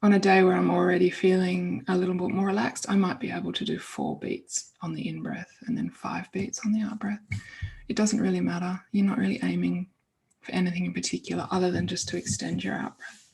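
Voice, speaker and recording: A woman leading an informal guided meditation in a soothing, unhurried way, breathy and often trailing off toward a whisper. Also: on the low side, little pitch movement, a little mellow.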